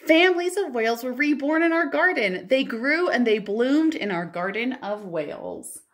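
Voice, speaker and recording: A woman in her thirties, a children's librarian, reads a picture book aloud and chats to young listeners with expressive wonder and drawn-out emphasis. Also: high-pitched. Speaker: children's librarian hosting storytime. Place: living room at home, indoors.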